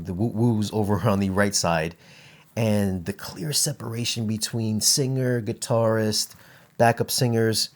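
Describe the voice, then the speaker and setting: A man giving a casual, conversational product review with an enthusiastic manner, as an audio gear reviewer. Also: on the low side.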